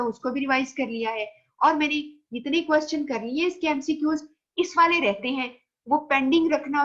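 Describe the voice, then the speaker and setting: Female lecturer, Pakistani Urdu accent, slightly high voice, muffled lo-fi recording; patient, explanatory. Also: fairly bright tone. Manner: explains patiently, mixes English phrases, repeats "theek hai" checks. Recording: dull lo-fi recording.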